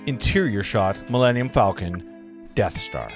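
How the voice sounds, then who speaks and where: A man's voice: on the low side, animated, unhurried, faint music behind. A man narrating screenplay stage directions at a live table read, with casual asides.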